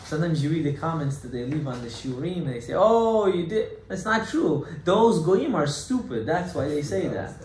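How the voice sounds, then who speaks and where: A male rabbi teaches a Torah class in a somewhat high voice, pressing his points with rhetorical questions and sudden loud outbursts. His speech is peppered with Hebrew terms. The room is echoey, with a faint hum of noise behind him. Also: very animated, normal speed, few pauses. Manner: emphatic, rhetorical questions, acts out objections loudly. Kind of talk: animated Torah lecture.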